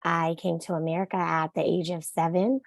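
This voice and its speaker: Gravelly low-voiced woman from Nigeria. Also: little pitch movement, moderate pace, dry recording, clean studio sound. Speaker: panelist, floral business owner. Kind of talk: panel introduction.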